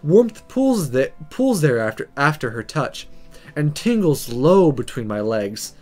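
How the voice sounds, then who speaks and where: A man hosting a let's play, reading a visual novel aloud dramatically and commenting on it in an expressive, theatrical way. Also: a little high, measured pace, soft background music.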